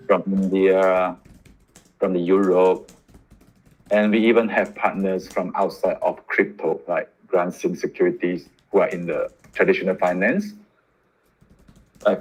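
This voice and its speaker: A man hosting a livestream question session, reading questions from the chat in a relaxed, chatty way. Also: low voice, noisy background. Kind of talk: livestream AMA.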